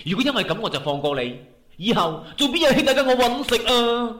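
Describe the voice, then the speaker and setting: A man's voice: extremely high pitch, big pitch swings, fairly quick, a bit bright, a little hiss or hum, heavily acted. A man playing a hot-tempered, ruthless gang boss and overbearing brother in a Cantonese radio drama. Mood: menacing. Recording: roomy echo.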